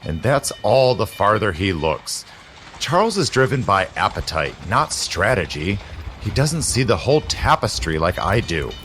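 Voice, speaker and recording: A man's voice: lowish voice, big pitch swings, a little slow, fairly bright tone, slight background noise. A man acting in an audio drama as a cynical, superior society conspirator with a biting, theatrical delivery. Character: scheming, cynical male society conspirator, world-weary and superior.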